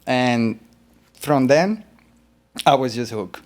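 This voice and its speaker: A man from Colombia, a guest artist in an interview, talking in a relaxed, rambling way with fillers. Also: medium pitch, takes it slowly. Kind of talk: interview conversation.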